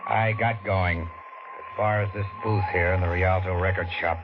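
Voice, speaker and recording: An actor playing a sardonic, hard-boiled down-and-out drifter, in 1940s radio acting. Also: male voice, sits low, fairly even intonation, a little slow, over loud music. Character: wisecracking down-and-out male drifter. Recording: muffled old radio recording with loud hiss.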